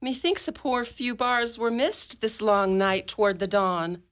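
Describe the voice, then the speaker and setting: A woman's voice: on the high side, measured pace, fairly bright tone, clear, resonant tone. A woman playing a teasing, impatient, lovestruck young noblewoman in a radio drama, with theatrical archaic phrasing. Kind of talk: amateur radio drama in Shakespearean verse.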